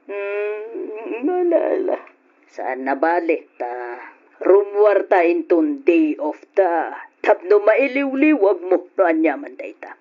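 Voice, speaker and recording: A woman playing a caring, inquisitive auntie in an old Ilocano radio drama, with expressive, drawn-out, probing delivery. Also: lowish voice, exaggerated voice. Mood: concerned, probing. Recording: dull lo-fi old radio recording.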